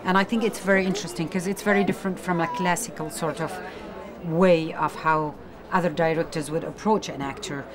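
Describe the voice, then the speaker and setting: Actress, woman, lowish voice, thoughtful and casual, with crowd sounds behind. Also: fairly even intonation, a little fast. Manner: thoughtful, casual, with many likes. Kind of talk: interview answers.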